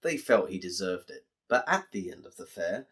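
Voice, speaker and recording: An English man tells a story for children. His pitch moves around in a very lively way, and he explains things directly to his listeners with plenty of expression. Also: normal speed, slightly rough.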